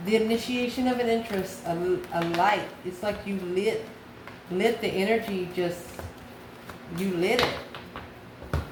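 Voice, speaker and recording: A woman's voice: mid-range voice, a little slow, pauses often. A woman giving a tarot reading straight to her viewers, in a folksy, familiar manner with emphatic repeated phrases. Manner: folksy, familiar, addresses listener as honey and baby. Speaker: tarot reader, channel host. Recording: echoey room with clear background noise.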